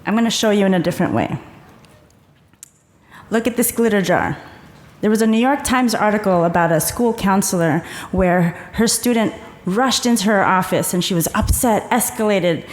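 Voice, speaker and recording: Woman conference presenter, calm and guiding, mid-pitched voice, reverberant hall. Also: normal speed. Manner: calm, guiding, leads audience through exercises. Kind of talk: conference talk.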